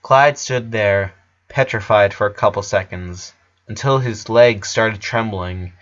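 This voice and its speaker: A man's voice: lowish voice, measured pace, a bit bright, highs cut off. A man reading a story aloud as a dramatic narrator. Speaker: fan fiction reader. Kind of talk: dramatic audiobook narration with character voices.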